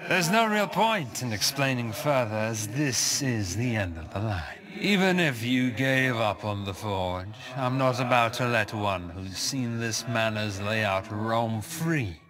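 An actor playing a haughty, scornful, scheming duke in a fantasy video game, speaking in a theatrical, measured, condescending way. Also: male voice, mid-range voice, noisy background. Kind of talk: dramatic video game character acting.

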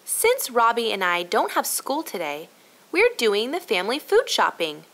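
Woman as an eager, high-pitched young girl. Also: wildly swooping pitch, normal speed, a bit bright, full character voice. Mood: curious. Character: eager, bright young girl, helpful big sister.

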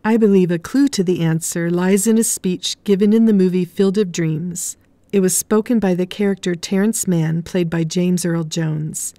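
A woman narrates a scripted tour in a mellow, fairly low voice. The sound is dry, with very little room on it. She speaks as the guide leading viewers through a house. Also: fairly even intonation, normal speed, dry recording.

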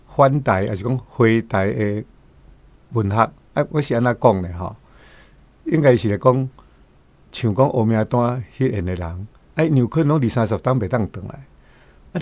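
A man's voice: medium pitch, darkish tone, dry recording. An older man with a Taiwanese accent giving informal commentary as a guest, conversational and often ending phrases on tag particles.

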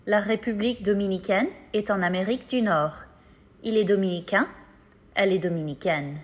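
A woman reading scripted lesson narration with careful, measured enunciation. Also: mid-range voice, a little hiss or hum.

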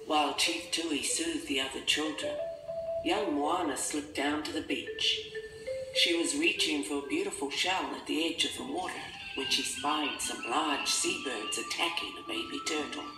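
A man reading a storybook as narrator in a measured, theatrical, dramatic style. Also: fairly high pitch. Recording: distant, roomy sound under loud music. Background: loud music.

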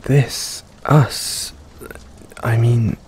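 A man playing an affectionate, self-doubting middle-aged dad in an intimate audio roleplay, speaking hesitantly and tenderly with gentle teasing. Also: medium pitch, steady tone, extremely slow. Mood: anxious, hesitant. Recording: rain-like ambience noise behind.

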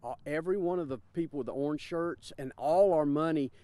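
A man in his fifties with a mellow, slightly high voice gives an informal interview as an event organizer. He is recorded outdoors in an open field. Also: a little fast, dry recording. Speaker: fair board vice-president.

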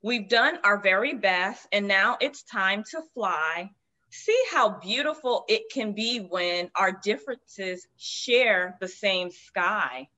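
A woman's voice: mid-range voice, expressive. A woman in her forties reading a picture book aloud to children as a guest storyteller on a video call. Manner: slow, gentle read-aloud. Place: room with shelves of children's books. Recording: dull lo-fi video call audio.

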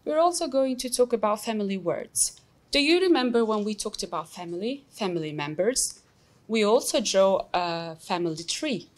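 A young woman with an Albanian accent teaching an English lesson, explaining slowly and patiently with many examples. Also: mid-range voice, expressive. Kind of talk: recorded classroom lesson.

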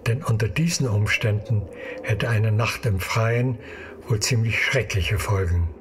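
A man's voice: on the low side, unhurried, background noise, lightly acted. A man narrating an audio drama in a scripted storytelling style.

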